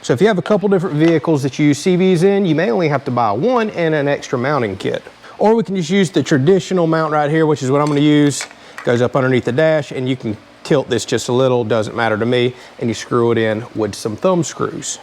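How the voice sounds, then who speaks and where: Higher-pitched man giving hands-on DIY instructions. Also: fast talker, a little mellow, a little hiss or hum. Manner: plain-spoken, explanatory. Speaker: host of a DIY vehicle channel. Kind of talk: casual hands-on tutorial narration.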